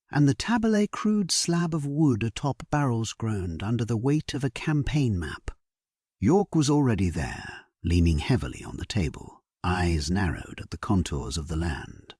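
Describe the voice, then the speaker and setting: A low-voiced man tells a bedtime historical tale as a grave, resolute king looking back on a battle morning. His delivery is very slow and solemn, with a soft breathy grain. The sound is close and dry. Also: no room sound. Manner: solemn, measured, hushed storytelling. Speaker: audio story narrator. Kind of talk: first-person scripted narration for a sleep story.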